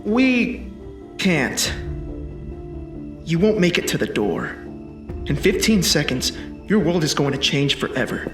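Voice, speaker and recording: A time-traveling bodyguard in a sci-fi action film. His voice is high and very animated, terse and commanding with a cocky edge. It rings in a roomy space with music clearly behind it. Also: slow, pauses often. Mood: urgent, commanding. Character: urgent male time-traveling protector from the future, commanding and cocky.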